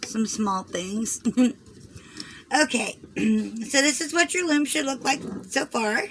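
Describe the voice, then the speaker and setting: A hoarse woman with a Southern US accent, rambling through a craft tutorial and often clearing her throat as she fights a cold. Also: on the high side, slow pace, a bit bright, a little hiss or hum, rough recording. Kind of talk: chatty loom tutorial.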